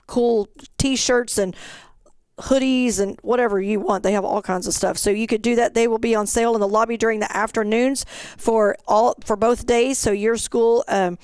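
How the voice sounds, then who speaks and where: A woman's voice: on the high side, steady tone, moderate pace, darkish tone, clear, resonant tone, no echo, clean studio sound. A woman giving an informal, plain-spoken and instructive presentation as an event organizer.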